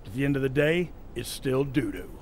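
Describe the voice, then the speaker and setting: A man's voice: medium pitch, lively intonation, a little fast, a little mellow, slight background noise, lightly acted. An actor voicing a folksy older male wrestling trainer who offers homespun advice in a video game. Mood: friendly.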